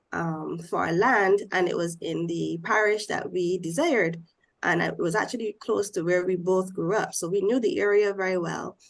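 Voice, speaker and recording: A woman's voice: on the low side, vocal fry. A woman from Barbados on a discussion panel, explaining step by step in a nasal voice because she has a cold.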